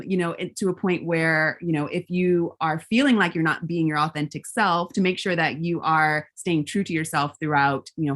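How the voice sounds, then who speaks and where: American woman hosting a chat, says you know a lot, lowish voice, keeps saying she loves it. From raised between California and Georgia. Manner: warm affirmations, many fillers like you know. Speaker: host. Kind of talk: casual interview chat.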